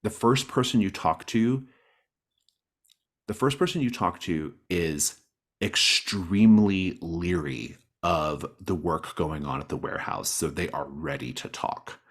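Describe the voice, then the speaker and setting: A man with a low, husky voice leads a tabletop roleplaying game. He moves between reading rules, describing scenes and prompting players with an encouraging manner. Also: a little slow. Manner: encouraging, guiding players with questions. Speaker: game master. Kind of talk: narrating a tabletop roleplaying game, part read from rules and part conversational.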